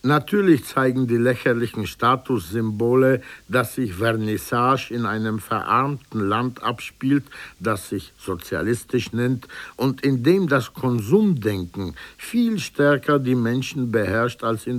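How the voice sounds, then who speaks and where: A man's voice: medium pitch, no room sound. A man reading a prepared literary commentary aloud in an emphatic, rhetorical way. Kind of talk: prepared essay read aloud.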